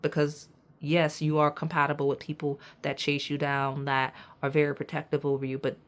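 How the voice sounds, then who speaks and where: Raspy low-voiced woman reading tarot. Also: monotone, normal speed. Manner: emphatic, preachy, repeats "okay?" and "right?"; casual slang, addresses viewers as y'all. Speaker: tarot reader. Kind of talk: pick-a-card tarot reading, direct to viewers.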